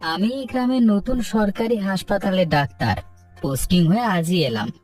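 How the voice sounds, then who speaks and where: A man's voice: high-pitched, sing-song, fairly quick, far from the mic, soft background music. An actor voicing a polite, dutiful young male doctor, speaking formally in a cartoon. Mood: polite, earnest. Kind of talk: Bengali cartoon story dialogue.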